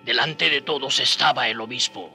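A skeptical native man, bright voice. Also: medium pitch, normal speed, gravelly, faint music behind. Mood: narrating. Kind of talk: radio drama acting and storytelling.

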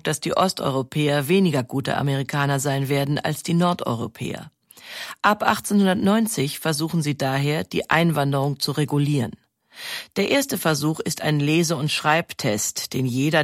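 A narrator reading a scripted history documentary in a measured, explanatory way. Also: mid-range voice, no room sound, studio quality.

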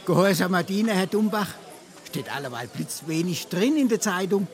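Cheeky penniless charmer, high lively voice, Darmstadt dialect, flattering comedy delivery. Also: male voice, normal speed, a little hiss or hum. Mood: jovial, flattering. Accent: Hessian, Darmstadt dialect. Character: cheeky smooth-talking penniless sponger, flattering bon vivant. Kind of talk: dialect stage comedy acting.

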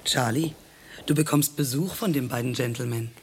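An actress playing a brisk, strict hospital nurse in a radio play. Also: female voice, extremely low pitch. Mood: stern. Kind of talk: German radio play acting. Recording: radio play with faint ambient noise.

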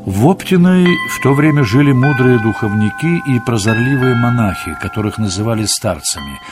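A man's voice: mid-range voice, lively intonation, normal speed. A man narrating a radio play in a scripted storytelling style. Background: music under the voice.

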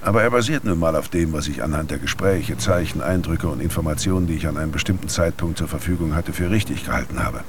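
Very deep, gravelly secret agent. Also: male voice, animated, fast, few pauses, noisy background, exaggerated voice. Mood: grave, uneasy. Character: sober male agent dictating an uneasy intelligence report. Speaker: actor in a radio drama. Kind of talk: dramatic report narration.

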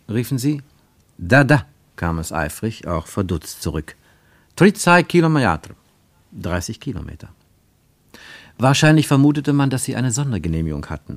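An actor as the narrator of a radio travelogue play, reading literary prose in a measured, lyrical, declamatory way. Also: male voice, on the low side, dry recording. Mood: dramatic, measured.

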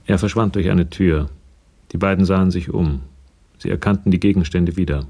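Male radio drama narrator, very low, creaky and mellow, telling a crime story. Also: fairly even intonation, normal speed.

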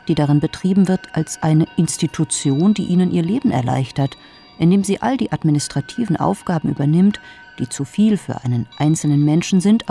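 Creaky, mellow sceptical thinker. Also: medium pitch. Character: sceptical political thinker lecturing on democracy. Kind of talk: scripted reflective monologue.

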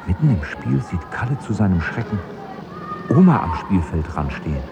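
A man's voice: deep voice, very animated, normal speed, noisy background. A man reading scripted storybook narration for a radio drama.

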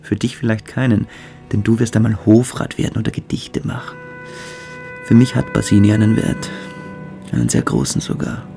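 A cruel young cadet with a low, gravelly voice. He talks deliberately and with cold superiority, savouring his menace in a radio play, with music underneath. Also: big pitch swings, warm tone. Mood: quietly menacing. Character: Beineberg, cold, calculating, cruel military boarding-school cadet. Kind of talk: German radio drama dialogue.

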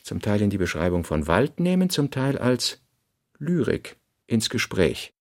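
A man's voice: lowish voice, sing-song, measured pace, darkish tone. A man reading literary text and working notes as a narrator in a studio radio production.

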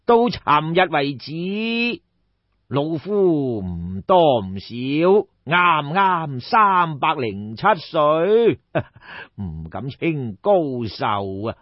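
A man's voice: on the high side, very animated, extremely slow, heavily acted. A man voicing a bogus immortal sage, haughty and full-breathed, with pompous, stately delivery in an audiobook. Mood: haughty, serene. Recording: dull, roomy audiobook recording.

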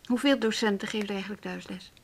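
A woman's voice: medium pitch, fairly even intonation, fast talker, vocal fry, husky. A woman playing a principled colleague in a radio drama, frank and questioning. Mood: challenging. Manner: frank, challenging. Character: principled, questioning female music school colleague.

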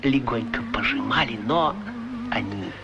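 A man's voice: medium pitch, lively intonation, unhurried, lo-fi sound, heavy background noise. A man voicing a genteel, proud pair of talking shoes in a radio play. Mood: genteel, chatty. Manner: speaks as "we", genteel and chatty. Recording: radio play with loud music. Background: loud music.

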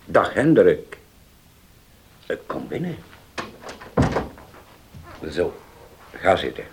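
An actor playing a grave older uncle in a radio play, subdued and halting, as if brooding. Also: male voice, deep voice, big pitch swings, full character voice. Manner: slow, halting, murmuring to himself. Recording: faintly noisy old radio play recording.

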